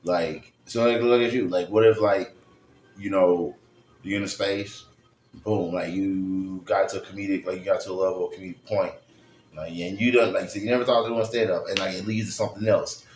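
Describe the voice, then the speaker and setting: A man's voice: low voice, fairly even intonation, a little fast, a little mellow, slight background noise. A man chatting as a podcast guest, laid-back and slangy, teasing the host. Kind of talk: casual chat. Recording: roomy room with faint ambience.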